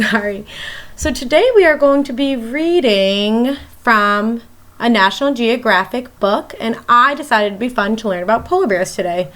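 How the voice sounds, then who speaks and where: Female elementary teacher, higher-pitched voice, read-aloud for young kids, explains simply and addresses listeners directly. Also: a bit bright. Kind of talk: read-aloud of a children's nonfiction book.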